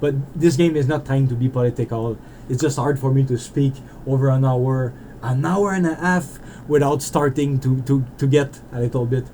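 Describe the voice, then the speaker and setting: A man's voice: mid-range voice, normal speed, hardly any pauses, mellow, slight background noise, low-quality recording. A man with a French Canadian accent, a French native speaker, rambling through a casual game developer vlog and restarting his sentences often. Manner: rambling and self-interrupting, with frequent restarts.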